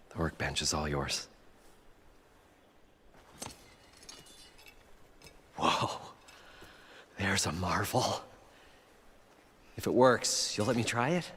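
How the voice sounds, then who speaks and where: An eccentric inventor with a husky voice that swings widely in pitch. He is proud, showy and eager, a folksy game character heard in long reverb. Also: a bit bright, heavily acted. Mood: impressed. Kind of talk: video game cutscene acting.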